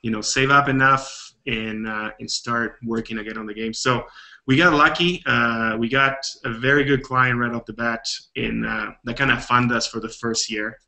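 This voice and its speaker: A man's voice: mid-range voice, fairly even intonation, normal speed, gravelly, bright, forceful tone, poor audio. A man from Bolivia, a game developer guest, telling stories in a rambling casual way with many restarts. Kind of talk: casual interview chat.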